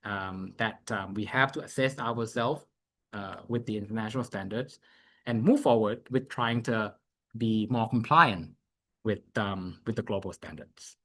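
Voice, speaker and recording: A man's voice: lowish voice, pushed and bright. Man walking through a demo. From Thailand. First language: Thai. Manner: halting, thinking aloud while walking through a screen. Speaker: presenter giving a software demo. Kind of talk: live webinar demo.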